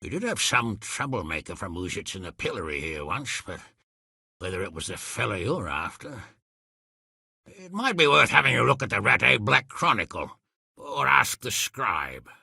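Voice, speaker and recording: A man acting as a gruff, hot-tempered, middle-aged medieval lord in a video game, with a raspy, gravelly voice and a blunt, blustery, commanding manner. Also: lowish voice, big pitch swings, moderate pace, pushed and bright, no echo, clean studio sound, exaggerated voice. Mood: grave, weary.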